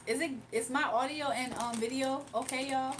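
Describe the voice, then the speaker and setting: A woman's voice: high-pitched, steady tone, moderate pace, a bit bright, slight background noise. A woman chatting casually on a live stream from her phone, reading viewer comments aloud and laughing often. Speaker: livestreamer.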